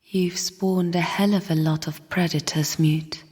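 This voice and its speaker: A woman voicing a somber, bitter sister spirit in a video game, speaking in a slow, solemn, cryptic half-whisper with a breathy tone. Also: on the low side, fairly even intonation, darkish tone. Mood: bitter, reproachful. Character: somber, bitter sister spirit of the void, guarding her chambers. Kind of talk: video game character voice lines. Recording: long cavernous reverb.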